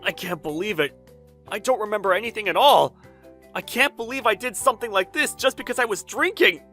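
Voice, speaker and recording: A man's voice: medium pitch, animated, normal speed, a bit bright, soft background music. A man voicing a stubborn, earnest young designer who narrates a manga dub and swings from reflective to exasperated. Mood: flustered disbelief. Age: young adult. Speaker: narrator and lead character. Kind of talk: anime-style manga dub, dramatized first-person narration.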